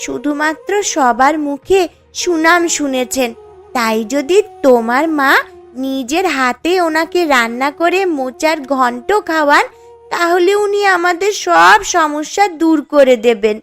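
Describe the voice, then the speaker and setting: A woman's voice: high-pitched, a little slow, a bit bright. An actress playing a troubled, pleading poor village girl in a cartoon story, plaintive and hesitant. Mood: worried pleading. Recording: music under the voice.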